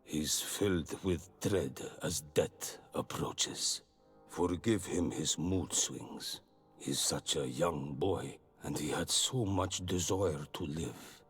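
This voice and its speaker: An actor playing a solemn, kindly and wise native healer in a fantasy video game, with a husky voice. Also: male voice, a bass voice, takes it slowly, faint music behind, exaggerated voice. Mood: grave, gentle. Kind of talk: video game cutscene acting.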